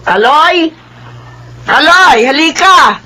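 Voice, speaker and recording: A woman's voice: high voice, animated, slow pace, bright, forceful tone, slight background noise, full character voice. A woman playing a kind, motherly aunt in an audio drama, speaking reassuringly. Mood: cheerful, busy. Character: kind, motherly aunt adopting a runaway boy.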